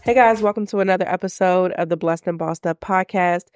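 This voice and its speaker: A woman's voice: fairly low pitch, a little fast, darkish tone, soft background music. A woman in her thirties hosting a faith-based business podcast, sounding congested and nasal from a cold, speaking earnestly and reflectively. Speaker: podcast host.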